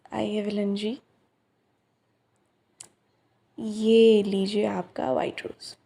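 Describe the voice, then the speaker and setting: A young woman acting a caring, chatty, boldly curious flower-shop girl in an ASMR roleplay, speaking softly and unhurriedly and mixing in English words. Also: a little high, gravelly. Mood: teasing, concerned. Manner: soft, unhurried, musing, mixes in English words. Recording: roomy, echoing reverb on the voice.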